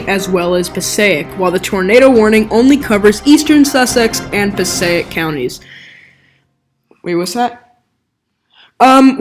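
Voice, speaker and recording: A woman's voice: a little high, close to an everyday voice. A woman playing a local TV weather presenter, speaking earnestly as if live on air during storm coverage. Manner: earnest presenter talk with hesitations. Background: music.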